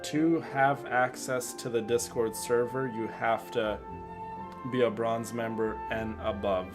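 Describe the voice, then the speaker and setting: A man's voice: mid-range voice, fairly even intonation, measured pace. A man streaming a video game, chatting casually and earnestly with his viewers. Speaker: streamer and content creator. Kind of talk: casual game livestream chat. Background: game music.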